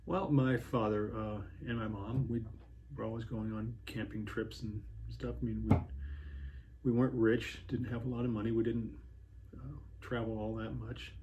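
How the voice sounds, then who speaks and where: A man in his sixties from Washington State, recalling his childhood in a rambling way with many pauses and restarts. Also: lowish voice, little pitch movement, noisy background. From Washington State, USA. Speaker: interviewee, hiker. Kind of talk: casual reminiscing interview.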